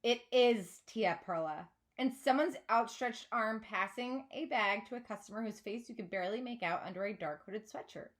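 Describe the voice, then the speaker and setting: A woman reading a children's novel aloud like a teacher, with expressive, dramatised narration. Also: on the high side, moderate pace, few pauses.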